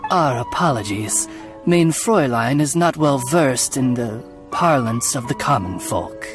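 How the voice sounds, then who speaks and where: A man voicing a dignified, courtly familiar in a video game, formal, measured and deferential to his lady. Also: mid-range voice, expressive, lightly acted. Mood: apologetic. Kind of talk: video game character acting. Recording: game music behind the voice.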